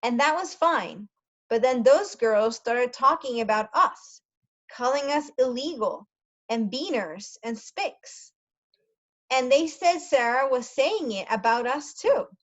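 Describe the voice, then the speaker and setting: A woman reading a story aloud as a teacher, carefully pausing to explain words for language learners. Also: high-pitched, lively intonation. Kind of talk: read-aloud storytelling with brief translations. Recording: dull lo-fi sound.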